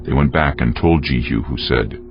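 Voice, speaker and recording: A man giving solemn, measured, scripted dramatic narration of scripture as a storyteller. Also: a bass voice. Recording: dull audio with music underneath.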